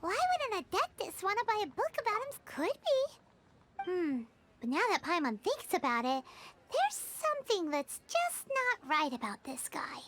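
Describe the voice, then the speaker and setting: A woman voicing a small, excitable, chatty fairy companion with a squeaky childlike voice in a video game. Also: a little slow, noisy background, exaggerated voice. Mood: curious. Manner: chatty, refers to self in third person.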